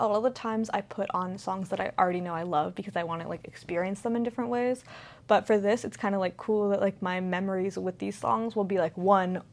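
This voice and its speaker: A young woman of twenty chatting casually to her viewers at a middling pitch. She rambles loosely, filling her sentences with "like" and "um". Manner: rambling, filler-heavy, lots of "like". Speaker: vlogger.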